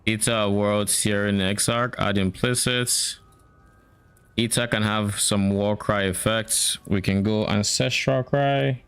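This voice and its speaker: Casting: man from Nigeria, Nigerian-Canadian accent, lowish resonant voice, musing live streamer. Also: steady tone, takes it slowly, fairly bright tone, smooth. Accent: mix of Nigerian and Canadian. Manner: thinking aloud, musing and self-questioning. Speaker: game streamer. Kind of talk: live stream, casual chat while planning a game build.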